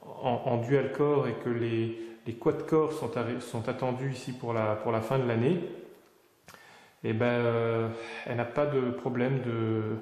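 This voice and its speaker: A man demonstrates a tech product off the cuff. He hesitates and pauses mid-sentence, with an ordinary mid-pitched voice. The room is echoey and adds some reverb. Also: steady tone, a little mellow. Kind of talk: unscripted product demonstration commentary.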